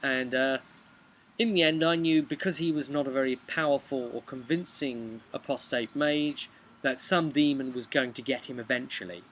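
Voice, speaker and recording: A man in his thirties tells the story of a tabletop game session in a casual, animated way. His voice is mid-pitched and bright, with a forward edge. He is recorded outdoors on a camera mic, with some faint background noise. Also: normal speed. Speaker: game master recapping his campaign. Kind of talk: casual storytelling vlog about a tabletop role-playing session. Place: outdoors on a bench beside a brick house. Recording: noisy outdoor home video recording.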